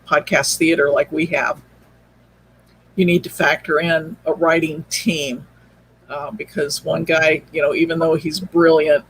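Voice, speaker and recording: Male interview guest, slightly high voice, halting casual delivery, faint noise on an online call. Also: fairly bright tone, poor audio. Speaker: podcast drama producer, interview guest. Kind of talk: casual chat.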